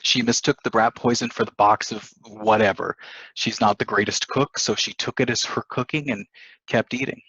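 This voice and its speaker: A man's voice: on the low side, fairly even intonation, normal speed, pushed and bright, breathy edge, dry recording. A man playing a coldly calculating newlywed husband in a table reading of a stage play, in dull audio. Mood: persuasive, sly.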